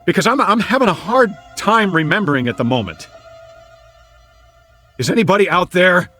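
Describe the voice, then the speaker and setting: An American actor in a radio drama playing a confused, lonely amnesiac Air Force man who talks to himself in halting, stammering repeats. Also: high-pitched, expressive, sharp tone, soft background music. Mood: pleading, rambling. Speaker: lead actor. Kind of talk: dramatic radio play acting.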